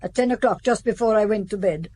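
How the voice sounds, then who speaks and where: A woman's voice: medium pitch, brisk, warm tone, slightly rough, close to an everyday voice. A woman acting an old housekeeper in her seventies for a radio play. She is proud and stiff-necked, answering in short, formal phrases. Her devotion to the household sits under a guarded, unbending manner. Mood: curt, formal.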